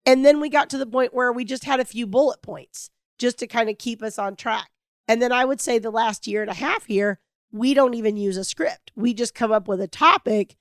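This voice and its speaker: A middle-aged woman hosting a podcast alone, talking in an earnest, reflective and hopeful way, her voice raspy from a cold. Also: a little high, no echo, clean studio sound. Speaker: podcast host. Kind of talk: heartfelt solo podcast monologue.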